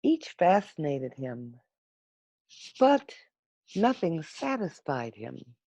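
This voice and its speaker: A woman reading a children's storybook aloud in an expressive, unhurried manner with dramatic pauses, over a video call. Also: low-pitched, no echo. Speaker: storybook reader. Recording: muffled, lo-fi video call audio.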